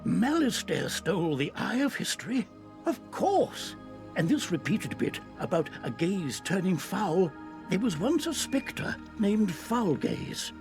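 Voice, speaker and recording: An actor playing a wise, kindly mentor in a video game, telling lore in a measured, theatrical way. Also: male voice, high-pitched, soft background music, exaggerated voice. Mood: urgent realization. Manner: measured, expressive storytelling. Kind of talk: video game character acting.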